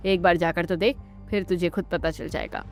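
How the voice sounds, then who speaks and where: A woman's voice: lowish voice, normal speed, darkish tone, soft background music, lightly acted. A woman playing a bossy, miserly Indian mother-in-law in a family cartoon, with a scolding, instructing manner. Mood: bossy, scheming. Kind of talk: Hindi family cartoon dialogue.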